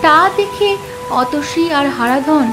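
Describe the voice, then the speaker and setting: A woman's voice: high-pitched, expressive, slow, over music. A woman narrating a scripted moral story for children.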